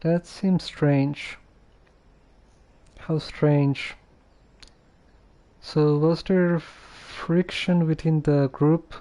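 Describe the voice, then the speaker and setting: A man's voice: a little high, fairly even intonation, very slow, pauses often, warm tone. A man streaming a video game, musing casually and thinking aloud in a hesitant way.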